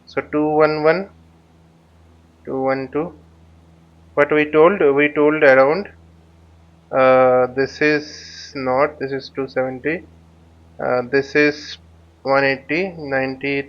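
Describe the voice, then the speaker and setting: A man giving an unscripted flight simulator tutorial, thinking aloud haltingly and often muttering to himself. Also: mid-range voice, dull audio. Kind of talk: unscripted tutorial commentary while flying a simulator.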